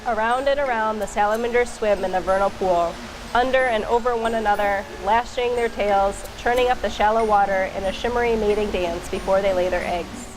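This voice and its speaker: A woman reading a children's picture book aloud in an expressive storytelling style. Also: mid-range voice, fairly quick, music in the background, poor audio.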